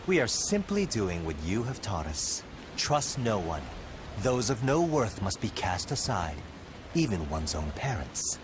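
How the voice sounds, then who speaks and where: A cold betraying nephew. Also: male voice, fairly low pitch, sing-song, measured pace, background noise. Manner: cold, accusing. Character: cold disloyal young male nephew noble.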